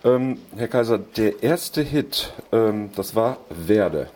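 Polite middle-aged radio host, lowish voice. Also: male voice, expressive, slow, darkish tone, a little hiss or hum. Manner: polite, formal, hesitant with fillers. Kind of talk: radio interview.

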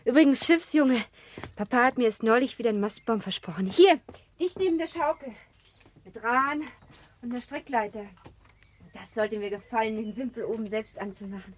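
A woman's voice: on the high side, normal speed, a little hiss or hum, natural voice. An actress playing a playful, headstrong seventeen-year-old girl in a German literary radio play, with an impulsive, teasing, girlish way of talking. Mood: playful, eager.

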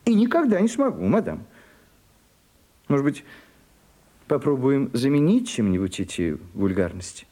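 An actor playing a prim, deferential old male secretary in a radio play, husky, hesitant and fussily polite. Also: medium pitch, sing-song, moderate pace, pauses often, mellow. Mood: offended, flustered. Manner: hesitant, fussy, flustered politeness. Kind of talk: theatrical radio play acting.

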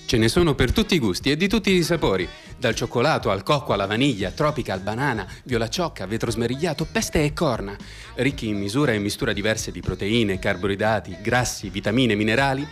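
A man's voice: medium pitch, expressive, a little fast, natural voice. A man playing a slick advertiser in a radio drama's mock commercial, reeling off a list in a declamatory way. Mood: salesmanlike. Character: slick advertiser listing sports drink flavours. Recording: music under the voice.

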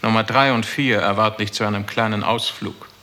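Casting: male actor for a smug, poetry-minded official; low, creaky, leisurely and sardonic, in a reverberant vintage radio play. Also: fairly even intonation, a little fast, heavy background noise, close to an everyday voice. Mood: amused, sardonic. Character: smug, cynical male superior with poetic pretensions. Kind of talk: 1960s German radio drama acting. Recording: reverberant hall, 1960s radio production.

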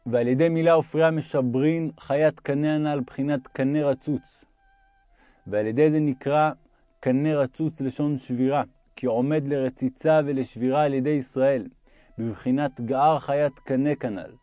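A man's voice: medium pitch, steady tone, mellow, no room sound, soft background music. A man reading a religious text aloud as an audiobook narrator.

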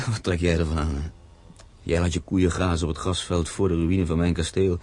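A man's voice: deep voice, fairly even intonation, moderate pace, darkish tone. A man acting in a Dutch radio drama as a proud, grieving castle owner, speaking solemnly and emphatically. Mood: bitter, sorrowful.

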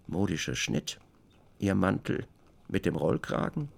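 A man narrating a literary radio drama from a script, with expressive, descriptive storytelling. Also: deep voice, a little slow, gravelly, husky.

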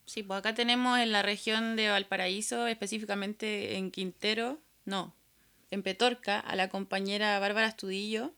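A woman's voice: mid-range voice, fairly even intonation, normal speed, bright, forceful tone. A woman with a Chilean accent co-hosting a casual political discussion in an earnest, activist manner. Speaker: podcast co-host.

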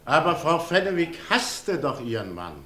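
Strict judge, talks slow and formal, bit high, echoey room. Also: male voice, big pitch swings, bright, forceful tone, full character voice. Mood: stern. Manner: measured, formal. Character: stern male courtroom judge. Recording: roomy studio.

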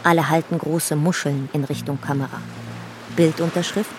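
Radio drama narrator: actress as matter-of-fact woman, low pitch, measured reading, music underneath. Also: fairly even intonation, close to an everyday voice. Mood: factual. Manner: factual, measured. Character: matter-of-fact female documentary narrator reading press and archive.

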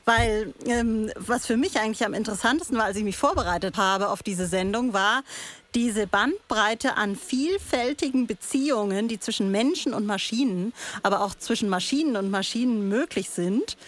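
A woman's voice: mid-range voice, normal speed. A woman co-hosting a conversational radio discussion in a reflective, explanatory way. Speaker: radio show co-host.

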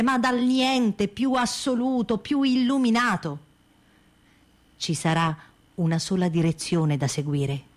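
A woman's voice: medium pitch, close to an everyday voice. A woman playing an obsessive visionary who preaches a single path of science in an emphatic, solemn radio theatre monologue. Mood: determined, grandiose.

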